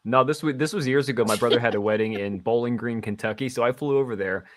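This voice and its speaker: A man's voice: mid-range voice, fast talker. A man chatting casually in a group, joking and slangy in his delivery.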